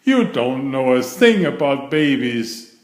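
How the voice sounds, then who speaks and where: A man voicing a flustered, fussy young mother who scolds in a shrill voice, in a storybook read-aloud. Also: big pitch swings, takes it slowly. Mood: upset. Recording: roomy echo.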